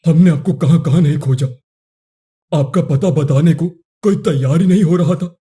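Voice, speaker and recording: Guy doing a respectful royal guard for a cartoon story, soft mellow tone, very formal. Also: fairly high pitch, normal speed, natural voice. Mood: courteous. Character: courteous royal soldier bearing a summons. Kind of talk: cartoon moral story voice acting.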